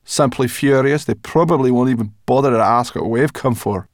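A man voicing a small, anxious brownie girl who scolds in a squeaky, indignant way. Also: normal speed, no room sound, clean studio sound, close to an everyday voice. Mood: grumbling.

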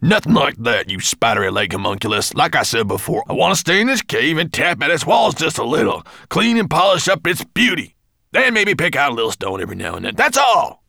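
A man voicing a greedy, boastful male mountain dwarf who jumps between mumbling and squeaky yelling. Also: moderate pace, vocal fry, pauses often, dry recording, clean studio sound, exaggerated voice. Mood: indignant.

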